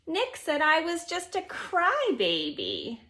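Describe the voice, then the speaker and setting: A woman in her forties reading a children's picture book aloud expressively, with deliberate, emphatic phrasing. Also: extremely high pitch, wildly swooping pitch, sharp tone. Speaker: storybook reader. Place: living room at home, indoors.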